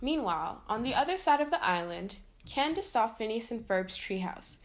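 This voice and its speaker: Lady reading a kids story out loud, highish voice, really animated, does the dialogue. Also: normal speed. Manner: expressive, acts out the dialogue. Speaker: storybook reader. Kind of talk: reading a children's story aloud.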